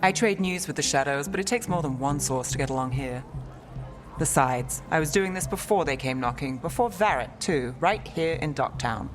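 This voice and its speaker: An actress playing a cool, self-reliant street investigator in a fantasy video game. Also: female voice, low voice, animated, moderate pace, over music. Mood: cool, matter-of-fact.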